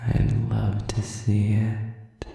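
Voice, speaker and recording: A man voicing a sadistic, cold, mockingly possessive demon in an audio roleplay, with slow, deliberate, breathy, taunting whispers. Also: fairly low pitch, monotone, warm tone, very echoey, distant mic, full character voice. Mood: menacing. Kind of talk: intimate audio roleplay acting.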